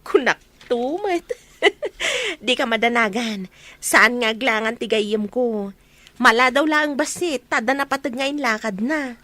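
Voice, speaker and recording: A chatty, teasing young Ilocano woman, acted by a woman as the meddling best friend. Her voice is very high and bright, recorded dry, in Filipino radio comedy style. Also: animated, a little slow, dry recording. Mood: chirpy, helpful.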